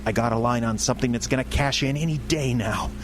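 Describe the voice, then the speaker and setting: An actor playing a panicky, scheming small-time debtor who stammers and pleads in a comic radio drama. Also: male voice, mid-range voice, fast, noisy background, natural voice. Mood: pleading, panicky.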